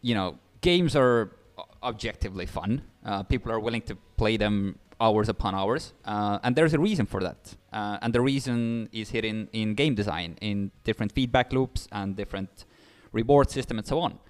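A man's voice: fairly low pitch, moderate pace, few pauses. Man, a chatty startup founder. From Estonia. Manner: explains ideas eagerly, many fillers like "like" and "uh", frequent restarts. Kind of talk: interview guest.

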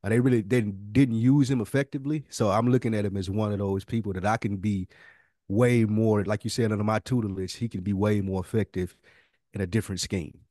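A man's voice: on the low side, fairly even intonation, a little fast, mellow, dry recording, studio quality. A man co-hosting a podcast in casual banter, talking in a slangy, profane way. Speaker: podcast co-host. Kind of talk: casual fantasy-draft banter.

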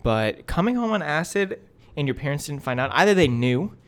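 A man's voice: a little high, big pitch swings, brisk, clean tone. A young American man co-hosting a podcast, chatting casually with a joking, teasing manner and frequent interjections. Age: young adult. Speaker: podcast co-host. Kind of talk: casual chat.

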